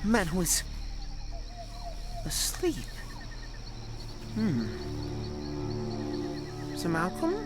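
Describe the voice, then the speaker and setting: This is a performer acting a young boy prince in an audio drama, spoiled and easily affronted. The delivery swings widely in pitch, haughty and incredulous, with music running underneath. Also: wildly swooping pitch, drawn-out words, pauses often, full character voice. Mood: hushed, wary.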